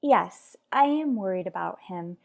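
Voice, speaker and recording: A woman's voice: mid-range voice, lively intonation, measured pace, vocal fry. A woman playing an anxious mother who fears for her young son in a radio soap opera. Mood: anxious, subdued.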